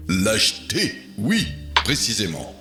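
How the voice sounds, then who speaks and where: A man's voice: on the low side, huge pitch swings, takes it slowly, heavily acted. A man voicing a haughty, flippant demon king and negligent aristocrat father in a cartoon fan dub, pompous and theatrical. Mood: breezy, casual. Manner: pompous, theatrical, breezily dismissive. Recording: loud music behind the voice.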